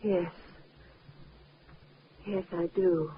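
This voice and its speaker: An actress playing a timid, embarrassed widow in a 1950s radio drama, speaking in a halting, apologetic way. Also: female voice, fairly low pitch, steady tone. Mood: subdued, uneasy. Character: timid, embarrassed widow, soft-spoken and hesitant. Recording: muffled, narrow 1950s radio recording, hiss.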